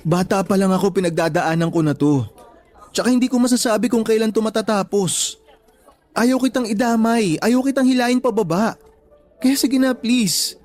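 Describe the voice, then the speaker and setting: Actor for troubled young boyfriend in a radio romance; higher male voice, earnest, apologetic, full of self-doubt. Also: moderate pace, a little mellow, soft background music, lightly acted. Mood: guilty, pleading. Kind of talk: Tagalog radio drama acting.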